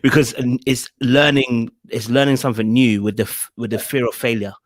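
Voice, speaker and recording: A man's voice: mid-range voice, fairly quick, dry recording. South london guy in his 30s, chill slangy chat on a video call, dry close mic. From England. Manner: relaxed, slang-heavy, says bro a lot. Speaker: podcast guest. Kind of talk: casual interview over video call. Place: home room with shelves behind. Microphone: desk podcast mic on arm, earphones.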